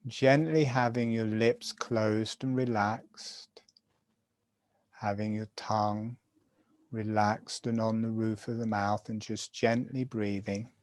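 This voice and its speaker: A man guiding a webinar through a gentle jaw and breathing exercise, speaking softly and soothingly in short, unhurried phrases. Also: lowish voice, fairly even intonation, dry recording. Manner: slow, soft, soothing guidance in short phrases. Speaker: breathing and relaxation instructor. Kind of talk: guided relaxation exercise.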